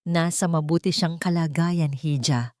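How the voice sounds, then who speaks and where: A woman's voice: deep voice, measured pace, darkish tone, dry recording, studio quality. A woman narrator voicing a cold, haughty, wealthy older mother-in-law in a dramatic story. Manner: cold, dismissive.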